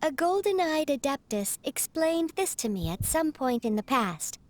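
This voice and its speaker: A woman's voice: high-pitched, very animated, moderate pace. A woman playing a small, courteous teapot spirit butler in bird form, formal and slightly absent-minded, in a video game. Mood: measured, helpful. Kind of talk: video game character dialogue.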